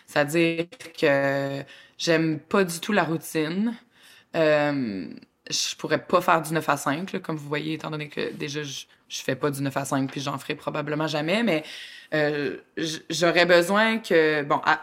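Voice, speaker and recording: A woman with a Québécois accent co-hosting a podcast, chatting in a lively, expressive, colloquial way and laughing often. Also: deep voice. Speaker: podcast co-host. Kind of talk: casual chat.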